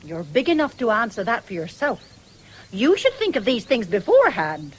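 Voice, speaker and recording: Radio drama: stern English farm matriarch, middle-aged, brisk, doubting, animated intonation, slight vintage broadcast noise. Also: female voice, a little high. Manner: brisk, sharp-tongued, sceptical. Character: stern, practical widowed farm mother, sceptical. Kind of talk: 1970s British radio drama acting.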